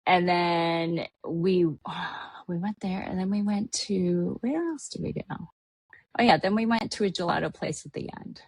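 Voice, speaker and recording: A woman's voice: on the low side, a little slow, a little mellow, slightly rough, no room sound. A woman from Hawaii hosting a podcast, chatting casually about a trip and rambling with lots of fillers. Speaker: podcast host. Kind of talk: casual travel recap chat.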